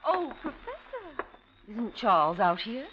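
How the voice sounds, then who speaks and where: Genteel, fretful wife, high-voiced. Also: female voice, wildly swooping pitch, a little slow. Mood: puzzled, worried. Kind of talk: 1940s radio acting. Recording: muffled old radio recording, faint noise.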